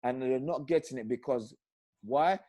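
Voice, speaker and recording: Impassioned man with a mellow voice. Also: mid-range voice, normal speed. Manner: impassioned, rising to loud emphatic peaks. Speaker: panel guest, former professional footballer. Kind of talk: passionate panel discussion. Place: video call from home. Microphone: video call.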